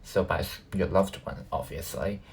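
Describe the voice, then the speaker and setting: Low, creaky-voiced man, native Cantonese speaker. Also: moderate pace. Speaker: YouTube reaction host. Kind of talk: casual reaction commentary.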